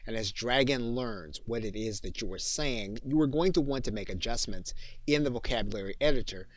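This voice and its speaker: A man with a fairly low voice narrates a software lesson in a methodical, explanatory way. He takes the listener through each step as an instructor. The recording is close and dry, with little room sound. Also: no room sound.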